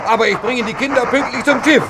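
A man's voice: very high voice, fast talker, noisy background. An actor playing a big, proud but aging male express engine who frets and groans to himself in a children's audio drama. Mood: flustered. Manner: anxious, groaning and muttering to himself.

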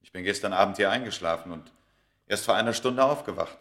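An actor playing a bewildered, frightened scholar of ancient Egypt in a German radio play, agitated, halting and questioning. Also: male voice, fairly low pitch, a bit bright. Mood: puzzled.

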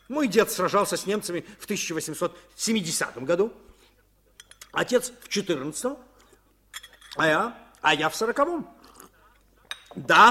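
A man's voice: high-pitched, sharp tone, heavily acted. An actor playing an irritable, opinionated middle-aged French father in a 1950s radio play, grumbling and blustering.

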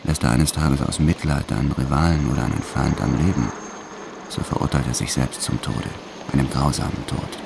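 An actor playing a brutal, proud French gangster who tells his life in measured, sombre radio play narration. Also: very deep voice, gravelly, noisy background, full character voice. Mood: hard, menacing.

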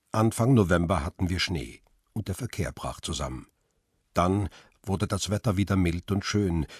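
An actor playing a lonely, melancholy middle-aged photographer who narrates a radio drama in a measured, reflective way. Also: male voice, low-pitched, slightly rough, dry recording, studio quality. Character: world-weary male photographer, lonely widower, melancholy. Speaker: first-person narrator and lead.

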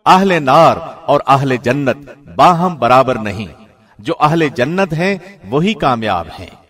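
Male scripture narrator, middle register, formal and measured radio-presenter read. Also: mid-range voice, expressive, a bit bright. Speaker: radio presenter reading a translation. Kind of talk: scripted scripture translation reading. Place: studio.

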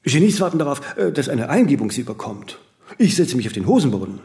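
A man's voice: mid-range voice, very animated, fast, dark tone. A man playing a vain, disciplined, eccentric celebrity in a radio play, talking in flowing, emphatic, self-correcting monologues peppered with little tag questions. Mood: self-assured, lecturing. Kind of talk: radio play dialogue, conversational interview answers.

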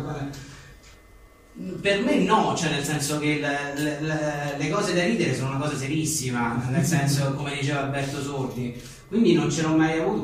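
A man being interviewed as a writer, talking casually in a rambling, self-deprecating and joking way. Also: on the high side. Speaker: journalist and author being interviewed. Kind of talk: casual live interview about a book. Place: echoey indoor venue at a live book talk. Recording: very echoey room, distant mic, faint noise.

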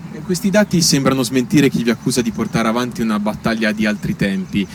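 An actor playing a polite, probing news interviewer who asks formal questions with small hesitant pauses. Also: male voice, medium pitch, lightly acted. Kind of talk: staged news interview in a play. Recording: distant, echoey, noisy room recording.

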